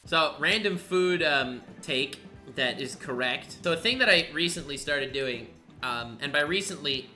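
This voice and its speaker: A man's voice: on the high side, a little slow, faint music behind. A man from Canada hosting a casual podcast chat, joking and laughing often as he asks questions. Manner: animated, laughs often, jokey. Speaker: podcast host.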